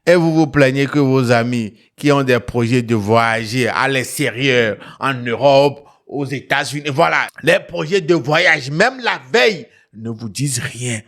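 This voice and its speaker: A man's voice: mid-range voice, a little slow, few pauses, fairly bright tone. A man with a West African French accent hosting an online talk show, telling stories emphatically, repeating phrases and punctuating them with questioning tags. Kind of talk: animated storytelling commentary to viewers.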